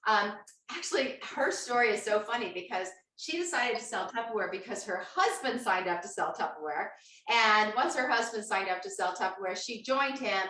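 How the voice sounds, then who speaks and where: Woman host, bright and forceful, chatty audience quizzer, set back from the mic in an echoey room. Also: fairly high pitch, distant mic. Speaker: host of an online cooking show. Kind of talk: upbeat live-stream hosting.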